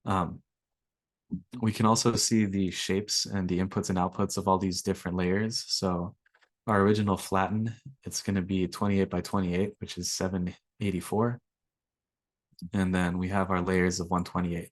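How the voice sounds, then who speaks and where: A young man, slightly raspy from allergies, walking through a coding workshop in a relaxed way with lots of ums. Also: low voice, moderate pace, no room sound. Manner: relaxed, filler-heavy explaining. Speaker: workshop instructor. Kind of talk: screen-share coding tutorial.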